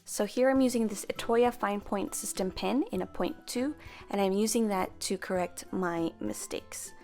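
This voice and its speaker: A woman's voice: mid-range voice, moderate pace, a little mellow, faint music behind. Chatty young woman narrating a craft tutorial. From half Filipino, grew up speaking Tagalog. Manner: chatty, self-correcting, friendly explaining. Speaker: planner stamping vlogger.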